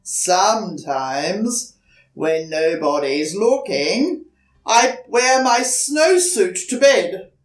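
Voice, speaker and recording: A woman voicing a big growling polar bear in a storybook, loud, emphatic and drawn-out. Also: mid-range voice, animated. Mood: gruff, confiding. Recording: roomy echo, distant mic.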